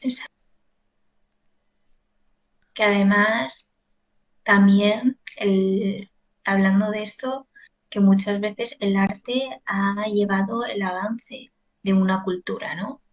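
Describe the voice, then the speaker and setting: A woman's voice: medium pitch, fairly even intonation, takes it slowly, pauses often, poor audio. A young woman whose first language is Chinese, co-hosting a casual podcast and explaining ideas with examples. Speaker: podcast co-host, language teacher. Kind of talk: casual conversational chat.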